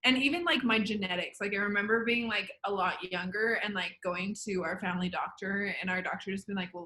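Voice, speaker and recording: A young woman in her late twenties talks as a podcast guest with a bright, forceful tone. She rambles through her thoughts in casual chat, filling gaps with "like" and "you know". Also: mid-range voice, low-quality recording. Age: 20s. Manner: rambling, heavy use of "like".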